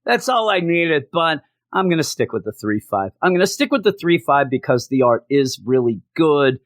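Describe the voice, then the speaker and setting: A man with a fairly high, hoarse voice, worn down from a week of losing it. He rants in exasperation as he reviews a comic, hammering the same complaint again and again. The sound is dry and close, with no room around him. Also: very animated, moderate pace, dry recording. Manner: exasperated, repeats himself for emphasis. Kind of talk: ranting review monologue.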